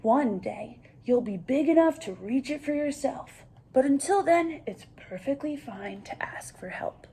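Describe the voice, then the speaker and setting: A woman playing a gentle, loving father in a picture book, soft and calmly reassuring. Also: high voice, a little slow, few pauses, a little hiss or hum, low-quality recording. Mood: tender, reassuring. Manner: soft, calm, assuring.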